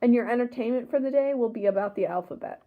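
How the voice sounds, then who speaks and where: A woman's voice: a little high, quick pace, lightly acted. A woman reading the part of a defiant freed male slave who leads a rebellion in a storybook, emphatic and earnest. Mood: scornful. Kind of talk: storybook read-aloud dialogue. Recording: thin, phone-like sound.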